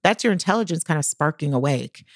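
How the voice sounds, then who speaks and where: A woman's voice: deep voice, animated, fast, no room sound, clean studio sound. An American woman co-hosting a podcast, chatting informally and with enthusiasm. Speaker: podcast co-host. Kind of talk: casual podcast chat.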